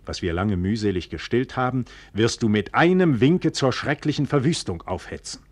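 A man's voice: medium pitch, animated, normal speed, a little mellow, no room sound, close to an everyday voice. An actor playing a proud, trusting, impassioned nobleman in a classical German radio drama, with emphatic, rhetorical delivery. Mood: urgent, pleading.

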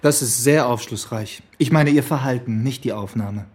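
A man's voice: mid-range voice, normal speed, a little mellow, husky, natural voice. A male actor playing a wry, bookish police detective in a German radio crime drama, with a sardonic, laconic manner. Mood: indignant.

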